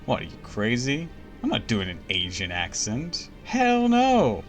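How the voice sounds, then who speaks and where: A man streaming a game, chatting casually, blunt and quick to laugh, with faint game music behind. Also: mid-range voice, wildly swooping pitch. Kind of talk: casual gameplay commentary. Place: home streaming setup.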